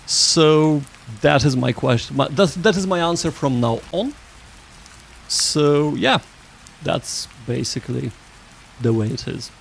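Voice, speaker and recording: A man hosting a livestream, chatting casually with viewers in a halting, rambling way that often restarts sentences. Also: a little high, creaky, a little hiss or hum. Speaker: livestream host.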